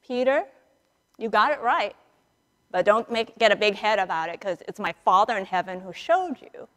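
A middle-aged woman priest giving a conversational storytelling sermon in a large church, acting out dialogue with dramatic pauses. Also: medium pitch, expressive, fairly bright tone. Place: large church sanctuary, indoors, standing and moving before the altar. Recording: reverberant church hall, picked up in a large space.

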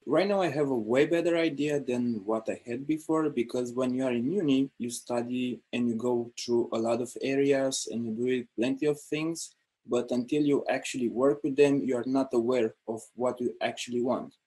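A young man speaking as an intern on a panel over a video call, earnest, with pauses and restarts. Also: mid-range voice, darkish tone, breathy edge, low-quality recording. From Romania. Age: young adult. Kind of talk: panel talk.

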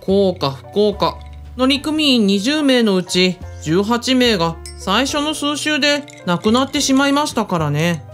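A woman's voice: a little high, lively intonation, unhurried, faint music behind. An actress in a radio drama playing a composed female aide with polite, refined phrasing. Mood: polite, concerned.

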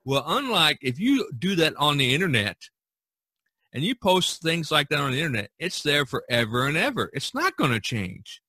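A mature male podcast host with a bright voice and a dry, close sound. He talks at length in a casual, rambling way, leaning on repeated phrases to drive things home. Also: on the high side, sing-song, moderate pace, slightly rough, no room sound. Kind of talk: casual podcast talk, long monologue.

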